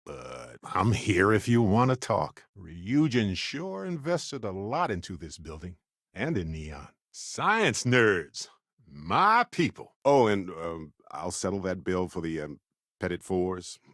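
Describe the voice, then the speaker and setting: Expressive low-voiced wry space explorer. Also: male voice, slow pace, no echo, studio quality, heavily acted. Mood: wry, hesitant. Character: genial, wry, curious middle-aged male space explorer companion. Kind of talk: video game character dialogue.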